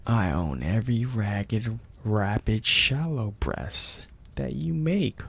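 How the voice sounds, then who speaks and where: A man playing a possessive, domineering mafia boss in an intimate ASMR roleplay, speaking deliberately and with teasing menace in a gravelly, husky, breathy voice. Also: lowish voice, lively intonation, fairly bright tone, exaggerated voice. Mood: possessive, smug. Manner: slow, deliberate, menacing, florid wording.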